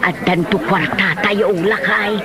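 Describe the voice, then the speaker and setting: A man's voice: sits high, steady tone, normal speed, over music, natural voice. A man with an Ilocano Filipino accent playing a sassy older wife and mother in a comedy drama, wheedling and placating. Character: sassy elderly mother, placating wife defending her son.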